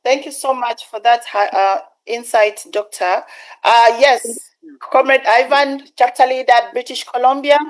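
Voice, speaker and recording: A woman with a somewhat high voice chairs an online meeting. She calls on speakers in turn, politely and in an organized way, with a few fillers. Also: fairly even intonation, unhurried, rough recording. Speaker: meeting host. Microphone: video call.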